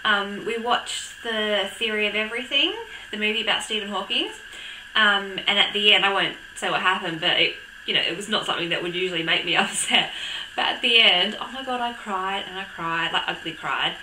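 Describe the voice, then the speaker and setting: A young woman with a croaky voice from a cold, chatting casually to camera with lots of ums and little laughs. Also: medium pitch, a little fast, echoey room, noisy background. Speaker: vlogger. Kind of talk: casual chat.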